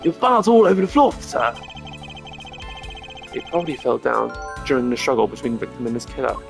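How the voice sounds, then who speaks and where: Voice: medium pitch, very animated, brisk, vocal fry. A streamer doing an earnest, chatty detective. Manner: chatty, earnest, ends lines with "sir". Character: eager, loyal, scruffy male police detective. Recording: game music behind the voice.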